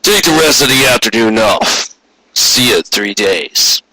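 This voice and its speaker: A man voicing a big, gruff, cynical stallion boss in a storybook, with a slow, forceful, grudging way of talking. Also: on the low side, lively intonation, no echo, exaggerated voice, rough recording. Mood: gruffly grateful.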